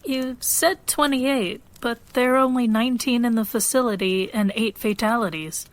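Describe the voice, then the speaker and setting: Woman playing a commanding, high-voiced investigator. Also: normal speed, natural voice. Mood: demanding. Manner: clipped, authoritative questioning. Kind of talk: audio drama acting.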